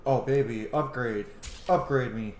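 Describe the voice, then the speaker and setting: A man's voice: mid-range voice, unhurried, a little mellow. A man giving casual, profanity-laced commentary while playing a video game. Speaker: gaming video host. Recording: roomy echo, clear background noise.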